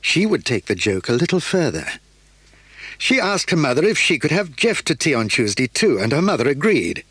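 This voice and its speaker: A man narrating a children's radio play with expressive, measured delivery. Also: fairly high pitch, slightly rough, no room sound. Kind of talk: scripted storybook narration.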